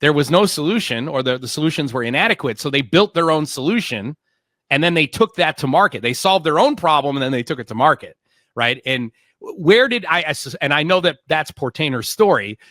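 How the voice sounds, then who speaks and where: A man's voice: fairly high pitch, lively intonation, fairly quick, fairly bright tone, no room sound, clean studio sound. A man hosting a tech interview, talking emphatically and often restarting his words. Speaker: podcast host. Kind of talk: casual interview.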